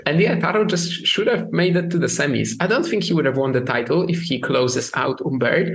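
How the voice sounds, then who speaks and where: A man's voice: fairly high pitch, fairly quick, vocal fry. A man co-hosting a sports podcast, talking casually with many restarts and fillers. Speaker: podcast co-host.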